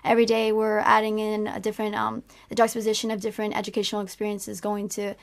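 A woman's voice: mid-range voice, monotone, quick pace. A young woman from Florida, an exchange student talking in a friendly, easygoing way on a radio show. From Florida, United States. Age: young adult.

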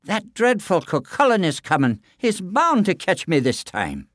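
A man voicing a frightened, cowardly giant husband in a storybook tale, whimpering and fretful in a high, strained voice. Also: sing-song, moderate pace, clean tone, no echo, clean studio sound. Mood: panicky.

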